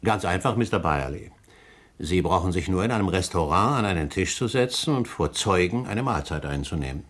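A man playing an insistent, businesslike company director in a radio play, brisk and impatient. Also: sits low, lively intonation, a bit bright. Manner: brisk and impatient, pressing his point. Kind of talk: German radio play acting.

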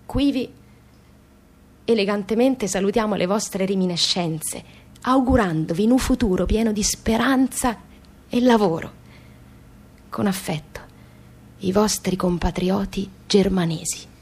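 An actress voicing a pompous, unschooled emigrant with a southern Italian accent, reciting a grandiloquent letter full of mangled formal words in a radio play. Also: female voice, mid-range voice, unhurried, pauses often, darkish tone, husky. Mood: courteous farewell. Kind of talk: theatrical comic recitation.

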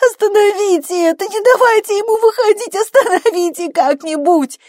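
A woman's voice: very high voice, moderate pace, darkish tone, no room sound, clean studio sound, pitched above the performer's own voice. A woman voicing a hysterical young woman in labour, screaming and pleading desperately in an audiobook. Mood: agonised pleading. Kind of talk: audiobook character acting.

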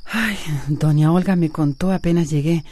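An actress playing a troubled, caring friend, hesitant and sighing, in a radio soap opera. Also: female voice, deep voice, moderate pace, warm tone, no echo, close to an everyday voice. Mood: sympathetic, sorrowful.

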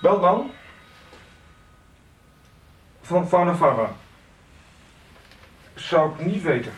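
Slick sales manager, roomy sound. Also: male voice, on the high side. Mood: tense, curt. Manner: slick, teasing, familiar. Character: smooth-talking, pushy flirtatious male sales manager. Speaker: actor in a radio soap. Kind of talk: 1990s Dutch radio soap acting. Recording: roomy, off-mic, noisy radio drama scene.

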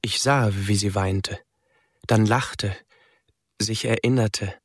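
A young male outsider in a radio drama. His voice is breathy and medium in pitch, with a slow, measured delivery. He narrates quietly and reflectively, in a dry, close recording. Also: steady tone, no echo. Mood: tender, reflective. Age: young adult. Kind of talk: German radio drama first-person narration.

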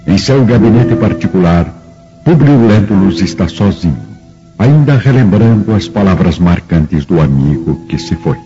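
Low, expressive male radio drama narrator. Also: normal speed. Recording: dull radio drama recording with music.

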